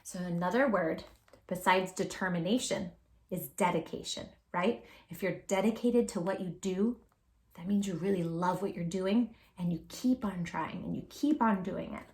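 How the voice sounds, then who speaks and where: A woman hosting a children's read-aloud, expressive and encouraging, emphasising words and chatting directly to young listeners. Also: on the low side, normal speed. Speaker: storybook reader, channel host.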